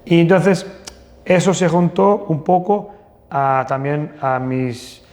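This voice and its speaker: A man's voice: on the high side, takes it slowly, a little mellow. A man from northern Portugal, a plastic surgeon telling anecdotes in an interview, hesitant with many restarts and fillers. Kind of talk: casual interview storytelling. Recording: long hall reverb.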